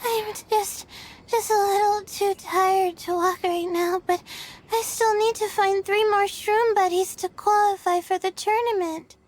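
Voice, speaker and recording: A woman's voice: very high voice, steady tone, measured pace, a little hiss or hum. A woman playing an exhausted, frail young female contestant in a video game, weak and breathless, with a faltering, melodramatic delivery. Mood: pleading, resigned.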